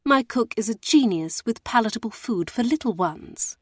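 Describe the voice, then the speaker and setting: Coy gushing lady, high and sugary, woman doing the voice, dry sound. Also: expressive, moderate pace, no room sound. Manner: coy, gushing, wide-eyed. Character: sly noblewoman feigning simpering sweetness.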